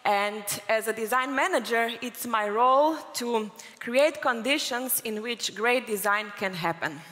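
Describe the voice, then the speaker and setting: Woman, from Croatia, conference keynote, clear bright tone, stage mic, reverberant hall. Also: fairly high pitch, unhurried. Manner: fluent, explanatory, with occasional fillers. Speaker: conference speaker.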